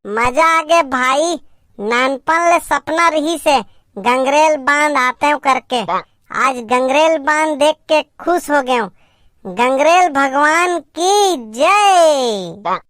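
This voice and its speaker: Very high, bright cartoon village man, acted by a man. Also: animated, slow, no echo, clean studio sound, exaggerated voice. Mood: delighted. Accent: Chhattisgarh. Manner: exclamatory, teasing, complaining. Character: excitable, grumbling male villager on a picnic outing. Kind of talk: cartoon comedy dialogue.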